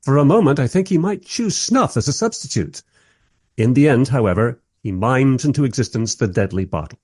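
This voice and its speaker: Man as a wry, animated, husky storyteller. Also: medium pitch, moderate pace, no echo, clean studio sound, close to an everyday voice. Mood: amused, dry. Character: wry modern male filmmaker telling his story. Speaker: narrator. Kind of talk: scripted radio play narration.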